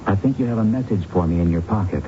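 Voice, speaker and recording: An actor playing a secretive, lovelorn man in a radio drama, speaking in a measured, confiding way. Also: close to an everyday voice. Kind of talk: 1970s radio drama acting. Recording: muffled noisy old radio broadcast, low rumble.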